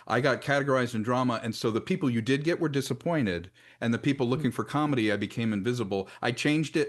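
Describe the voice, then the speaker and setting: A man speaking as a panelist, explaining his view in a measured, conversational way. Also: mid-range voice. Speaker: panelist, audio drama maker.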